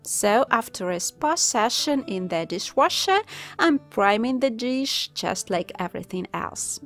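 A woman calmly narrating a step-by-step craft tutorial as the channel host, in voice-over. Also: a little high, a little slow. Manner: calm, instructive. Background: soft background music.

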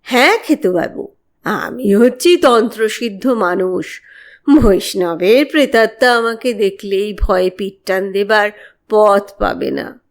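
A woman's voice: mid-range voice, lively intonation, slow pace. A woman voicing a boastful, cocksure male tantric priest in a pompous, assured way for an audio story.

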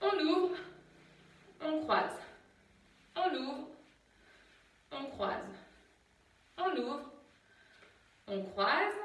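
A young woman leading a home workout, calmly counting reps and cueing breaths as she exercises in an attic room, recorded on a phone set far back. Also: high-pitched, very echoey. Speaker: fitness instructor. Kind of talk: guided home workout instruction. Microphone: phone camera from a distance.

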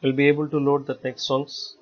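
A man giving step-by-step software tutorial narration as an instructor, in a halting, repetitive explanatory way. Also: mid-range voice, completely flat delivery. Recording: dull lo-fi voice-over recording.

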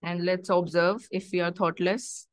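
A woman leads a guided meditation in a slightly low, very even voice. She gives simple instructions and prayers in short, measured phrases. The sound is close and dry, with long pauses between thoughts. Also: no echo.

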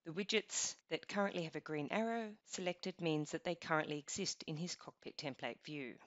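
A woman narrating a scripted software walkthrough, explaining each step in an even, instructional way. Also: low-pitched, a little fast, no echo. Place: voice-over over screen recording. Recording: dull lo-fi voice-over.

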